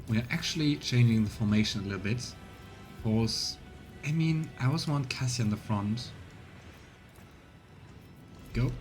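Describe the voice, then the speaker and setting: Casting: male game streamer, mid-pitched, chatty, thinking aloud over soft background music. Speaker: let's play streamer. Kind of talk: casual gameplay commentary, reading text aloud. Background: faint game music.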